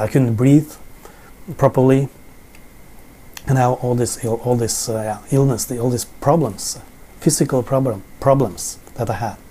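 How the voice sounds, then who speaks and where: A man's voice: mid-range voice, dark tone. A middle-aged Norwegian man with a Norwegian accent, teaching the Bible in English from his living room sofa, often repeating words as he searches for them. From Norway. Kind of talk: informal Bible teaching to camera. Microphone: camera in front, seated framing.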